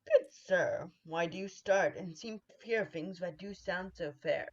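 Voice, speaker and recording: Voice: mid-range voice, measured pace. A student actor playing a wary, bold noble warrior, declaiming in the style of a 1940s radio Shakespeare play, on dull audio. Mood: challenging.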